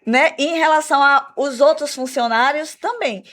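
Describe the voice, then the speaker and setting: A woman with a Brazilian Portuguese accent, an English teacher explaining her school's program in a radio interview, measured and full of "né?" tags. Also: high-pitched, a bit bright. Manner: measured, explanatory, repeats words with "né?" fillers.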